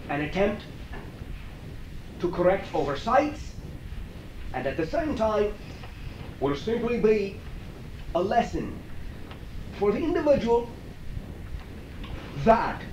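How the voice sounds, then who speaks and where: A man voicing a channeled alien being, assured and teacherly, in deliberate halting phrases with formal, stilted wording. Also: sits high, darkish tone, dull audio, heavily acted. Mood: solemn, instructive. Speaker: channeler answering audience questions. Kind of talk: channeling session, question and answer. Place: echoey room, recorded from afar. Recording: echoey, noisy old cassette, distant mic.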